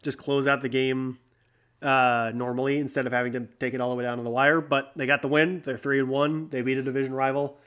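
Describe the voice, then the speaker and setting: A man's voice: medium pitch, flat delivery, fairly quick, a bit bright, smooth. A young man hosting a casual sports recap, talking with a stuffy nose from a cold, rambling with lots of fillers and restarts. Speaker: podcast host.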